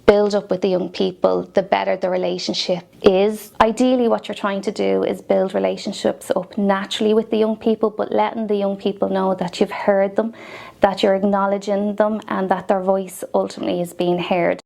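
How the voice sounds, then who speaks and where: A woman's voice: mid-range voice, level tone, moderate pace, creaky, a little mellow. A woman, a care worker, explaining practice in an interview.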